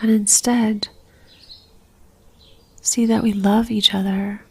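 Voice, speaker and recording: Breathy, slow-paced woman guiding meditation. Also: medium pitch. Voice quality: soft, breathy, near-whispered. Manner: gentle, calm, unhurried with long pauses. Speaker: meditation guide. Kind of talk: guided religious meditation.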